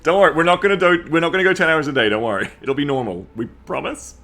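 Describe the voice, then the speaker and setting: A man chatting casually to viewers as a game streamer, rambling, excitable and swearing freely. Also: a little high, rapid-fire. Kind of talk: casual livestream chat to viewers. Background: music.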